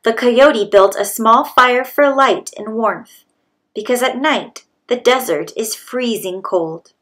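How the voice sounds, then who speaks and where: A woman's voice: fairly high pitch, slow, fairly bright tone. A woman reading a children's story aloud as a storybook narrator. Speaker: storybook reader.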